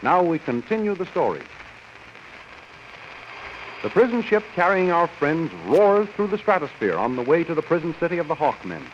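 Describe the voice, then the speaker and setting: Dramatic adventure announcer, sweeping intonation, vintage radio narration, lo-fi sound. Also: male voice, on the high side, very animated, moderate pace, long pauses. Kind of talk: 1930s radio serial narration. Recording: muffled old radio recording, faint hiss.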